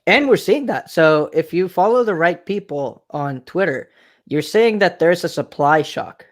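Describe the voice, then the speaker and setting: A man's voice: fairly high pitch, lively intonation, measured pace. A man from Mexico hosting a podcast interview, talking casually and repeating himself for emphasis. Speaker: podcast host.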